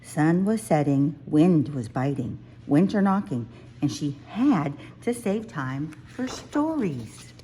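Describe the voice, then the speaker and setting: A woman reads a children's storybook aloud. Her pace is slow and deliberate, and her voice sits a little low. She phrases the narration expressively. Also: very animated, mellow, slight background noise. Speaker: children's book reader.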